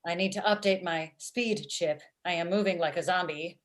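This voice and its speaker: Woman playing a deadpan female robot matchmaker. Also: on the low side, close to an everyday voice. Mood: deadpan teasing. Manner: formal, deadpan, measured phrasing. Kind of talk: comedy script table read. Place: indoor room at home. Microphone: video call.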